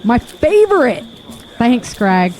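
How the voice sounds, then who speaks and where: A woman's voice: a little high, very animated, slow, a little mellow, rough recording. A woman playing a spirited wife and mother who is a survivor in an audio drama. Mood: warm, bantering.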